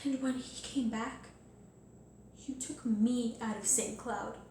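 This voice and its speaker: A woman playing a bitter, wounded young Southern daughter in a stage monologue, deliberate, pleading and accusatory, with heavy pauses. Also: fairly high pitch, steady tone, mellow. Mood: bitter, accusing. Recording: echoey room, faint noise.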